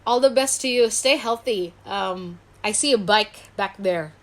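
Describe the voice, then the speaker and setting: A woman's voice: medium pitch, measured pace. A woman from Indonesia speaking as a guest psychologist in a casual interview, candid and self-reflective in an informal way. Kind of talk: casual video interview chat.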